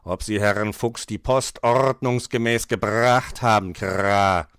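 Man doing a slow, low, croaking raven. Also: steady tone, a bit bright, dry recording, studio quality. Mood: inquiring. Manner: drawling, punctuated by croaks. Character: formal, nosy male raven master, slow and croaking. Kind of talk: children's storybook reading.

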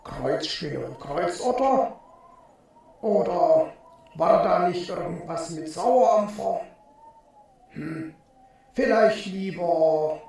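A man voices a courteous storybook fox, male, gentle and a little dazed. The delivery is polite and unhurried, in a high, creaky voice. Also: animated, exaggerated voice. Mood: dazed, pondering. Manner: polite, slow, friendly.

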